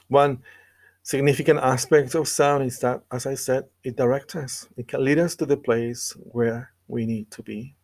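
A man delivering a scripted devotional sermon and scripture reading in an earnest, measured way with deliberate pauses. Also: medium pitch, vocal fry, darkish tone. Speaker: preacher.

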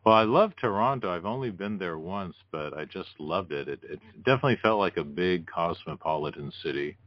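A man's voice: sits low, animated, a little fast, dull audio. A man hosting a conversational music interview, encouraging his guest with short affirming interjections.

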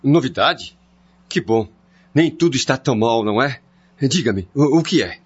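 An actor playing a scheming, smooth-talking, two-faced husband in a theatrical radio soap style. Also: male voice, mid-range voice, expressive, moderate pace. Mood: surprised, dismissive. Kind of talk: radio soap opera acting. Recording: dull lo-fi audio, music underneath.

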